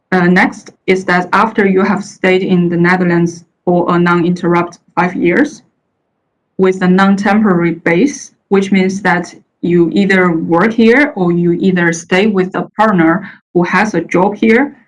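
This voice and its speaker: Woman from china, chinese her first language, a bit low-voiced, halting presentation delivery. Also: poor audio. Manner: hesitant, many uh fillers and restarts. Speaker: panel speaker. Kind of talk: presentation talk. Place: the Netherlands.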